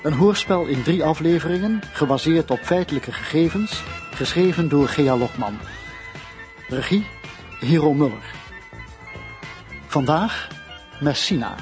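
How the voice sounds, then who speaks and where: A man's voice: on the high side, expressive, unhurried, pauses often. A man announcing a Dutch radio play in a scripted introduction, with music behind him.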